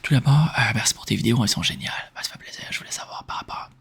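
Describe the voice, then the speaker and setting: A man from Quebec with a Québécois French accent, speaking in a soft, breathy whisper as he informally answers questions in an ASMR style. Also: medium pitch, very fast. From Quebec, Canada. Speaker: ASMR video creator answering viewer questions.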